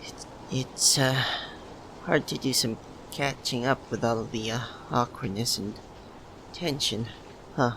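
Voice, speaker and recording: Slow, halting, shy young man acted out. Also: mid-range voice. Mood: nervous. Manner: hesitant, halting, stammering with pauses. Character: shy, lovesick young man, nervous childhood best friend. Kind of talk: ASMR roleplay, soft emotional monologue to the listener. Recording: noticeable steady background ambience noise.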